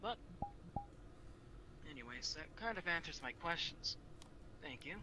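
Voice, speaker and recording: A man's voice: a little high, lively intonation, a little slow. A man chatting casually in character during an improvised online role-play game. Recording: noisy online game voice chat.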